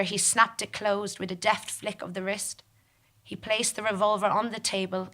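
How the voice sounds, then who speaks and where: A woman with a bright, forceful voice at an average pitch tells a story in the first person. Her delivery is deliberate and formal, pitched as dramatic stage narration. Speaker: monologue performer. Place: theatre stage.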